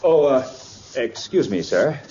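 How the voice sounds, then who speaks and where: A man's voice: medium pitch, expressive, unhurried, mellow, lightly acted. An actor playing a fussy, put-upon middle-aged father with formal, sputtering exasperation, in old-time radio comedy style. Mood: testy. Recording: dull, very noisy vintage radio recording.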